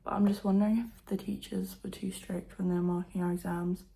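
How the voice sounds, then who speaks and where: A teenage girl vlogging at home, her voice croaky from just waking up, talking softly and half-whispering. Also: mid-range voice, little pitch movement, fairly quick. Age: teens. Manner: soft, half-whispered, nervous chatter. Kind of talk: casual to-camera vlog. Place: at home indoors.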